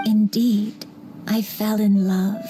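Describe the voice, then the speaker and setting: A woman playing a gentle, sorrowful grandmother who was once a crane, telling her story tenderly in a video game. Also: medium pitch, flat delivery, over music, natural voice. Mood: nostalgic. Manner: slow, tender, measured storytelling. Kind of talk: dramatic video game voice acting.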